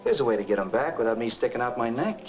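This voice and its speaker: An actor playing a cold, bossy killer and escaped convict in a 1940s American film noir, talking in blunt, slangy commands. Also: male voice, mid-range voice, big pitch swings, fast talker, close to an everyday voice. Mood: commanding, deliberate. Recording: dull 1940s film soundtrack, faint music.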